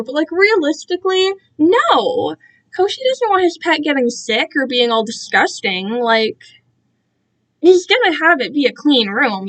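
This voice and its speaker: High-pitched young woman, rambling chattily. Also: fairly bright tone, poor audio. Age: young adult. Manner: self-deprecating, digressive, slangy. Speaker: livestreamer. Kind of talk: casual rambling chat to livestream viewers. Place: alone in own room.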